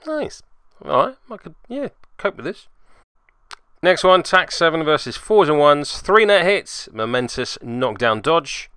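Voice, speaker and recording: Male host for tabletop game recap: lively, bright delivery, play-by-play narration with dry sarcasm. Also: a little high, unhurried, smooth, no echo. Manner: dryly sarcastic asides.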